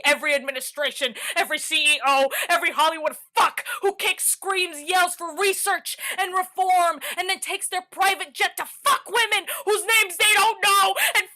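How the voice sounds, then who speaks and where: A woman acting a bitter, defiant prisoner in a theatrical staged reading, profane and impassioned, swinging from muttering to shouting. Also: very high-pitched. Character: bitter, defiant imprisoned woman facing the end of the world.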